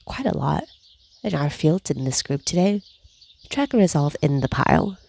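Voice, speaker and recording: A woman leading a guided energy clearing session, speaking slowly and soothingly, with repeated cues and breathy sighs. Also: low-pitched, very animated, darkish tone. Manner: slow, soothing, repetitive guiding with breathy sighs. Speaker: energy healing facilitator.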